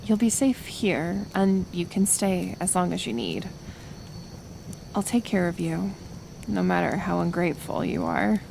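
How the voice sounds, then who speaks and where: A woman playing a kind, stubborn forest healer in an ASMR roleplay, speaking gently and hesitantly, caring but persistent. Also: fairly low pitch, a little slow, vocal fry, background noise. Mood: caring, firm. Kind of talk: soft-spoken ASMR roleplay acting.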